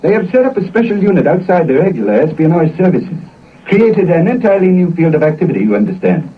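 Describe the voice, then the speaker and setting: A man's voice: on the high side, big pitch swings, fast, slightly rough. A man acting a shrewd, world-weary Belgian cab driver with a Belgian accent in a 1940s radio drama. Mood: ominous, knowing. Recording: noisy, muffled, distant old radio recording.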